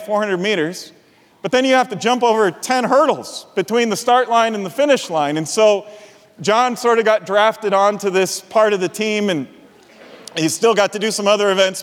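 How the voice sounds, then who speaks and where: High-voiced male pastor in a reverberant hall. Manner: animated storyteller, chuckles often. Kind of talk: sermon with personal storytelling.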